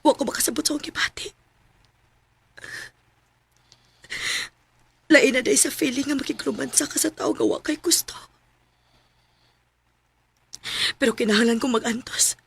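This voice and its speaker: An actress with a Cebuano accent playing a battered but quietly determined young wife in a radio drama. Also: female voice, high-pitched, steady tone, quick pace, gravelly, slightly rough, full character voice. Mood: anguished, hushed. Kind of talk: Filipino radio drama acting. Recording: radio drama with faint music behind.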